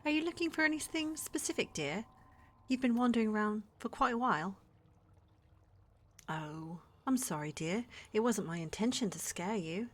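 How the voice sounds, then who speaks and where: A woman's voice: mid-range voice, expressive, moderate pace, close to an everyday voice. A woman playing a flirty, motherly witch shopkeeper in an ASMR fantasy roleplay, with a coaxing, teasing manner. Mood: coaxing, attentive. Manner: coaxing, teasing, addresses listener as dear.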